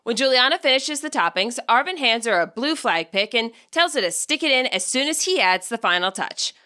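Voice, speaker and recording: A woman reading a scripted story as its narrator, with a wry, expressive delivery. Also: a little high, brisk. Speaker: author narrating own fan fiction audiobook.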